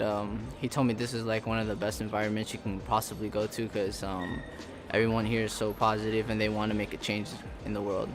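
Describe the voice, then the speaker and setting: Young man over a loud music bed. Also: fairly low pitch. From Peru, raised in Miami. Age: young adult. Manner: earnest, searching with many ums. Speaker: college freshman athlete. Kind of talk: recorded player interview.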